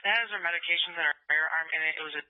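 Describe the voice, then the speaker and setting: A man's voice: fairly high pitch, rapid-fire. A man working as a police dispatcher, giving terse call details over a radio transmission. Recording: tinny phone-like police scanner radio.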